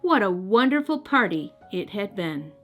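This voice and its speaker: Casting: female storybook narrator, medium register, expressive delivery aimed at children, light music bed. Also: mid-range voice, normal speed, lo-fi sound. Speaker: host and storybook reader. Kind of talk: children's read-aloud narration.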